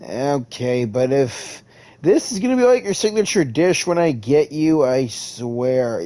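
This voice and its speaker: A woman's voice: very deep voice, lively intonation, unhurried, mellow. A woman streamer giving casual let's-play commentary, reacting to a game in laid-back short asides. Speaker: gaming streamer. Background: game music.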